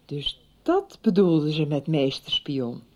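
A woman's voice: low-pitched, sing-song, slow, a little hiss or hum, full character voice. A woman playing a suspicious, insistent wife in a radio soap, probing and reproachful. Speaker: actor in a radio serial. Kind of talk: 1990s Dutch radio soap acting.

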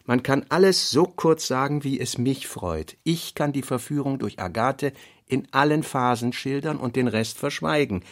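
A man reading a writer's notebook for radio in a deliberate, reflective way, pausing between phrases. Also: medium pitch. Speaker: reader.

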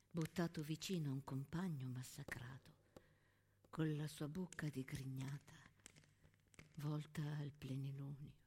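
A woman's voice: deep voice, big-room sound, slight background noise. A woman playing an impassioned, haunted young male soldier and poet in a literary stage monologue. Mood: haunted, hushed. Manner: intense, literary, swinging from outcry to hush.

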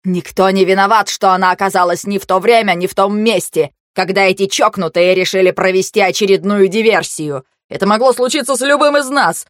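A woman voicing a furious, foul-mouthed young male mage who rants and yells. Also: mid-range voice, brisk, hardly any pauses, no room sound, studio quality, natural voice. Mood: raging. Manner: ranting, yelling.

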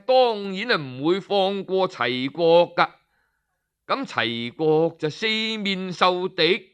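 Cantonese guy telling an old story, high lively voice, smooth and clear. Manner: animated, slow, voices the characters' speeches.

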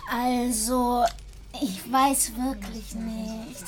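A timid, childlike-naive woman in a radio play. Her high voice moves slowly and haltingly, with stammered starts. She sounds submissive and easily hurt beside her partner in crime. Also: steady tone. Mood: hesitant, hurt. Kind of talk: German radio drama acting.

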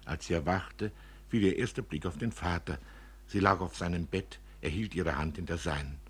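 Deep, husky male storyteller. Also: normal speed. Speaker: narrator. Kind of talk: expressive literary narration for radio.

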